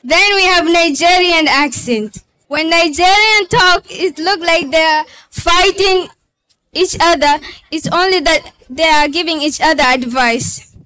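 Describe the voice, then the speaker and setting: A woman's voice: extremely high pitch, a little slow, sharp tone, low-quality recording. A teenage girl presenting her talent at a school show, explaining earnestly. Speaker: student performer. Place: school event.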